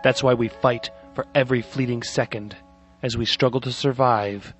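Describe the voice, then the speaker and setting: Male announcer for scripted series intros and credits, mid-range pitch, clear music bed underneath. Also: steady tone, normal speed. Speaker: announcer of audio fiction series. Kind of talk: scripted intro and outro narration.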